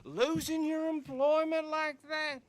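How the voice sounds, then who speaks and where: An actor playing a drunken, self-important older Southern sheriff in a video game, talking in a folksy, grandiose way. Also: male voice, very high voice, little pitch movement, slow, no room sound. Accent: American South.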